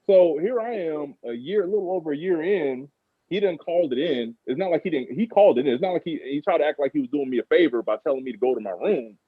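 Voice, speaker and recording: A man's voice: fairly high pitch, quick pace, breathy edge, no room sound. An American man in his thirties telling stories casually and informally as a podcast guest. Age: 30s. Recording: phone-like thin radio sound.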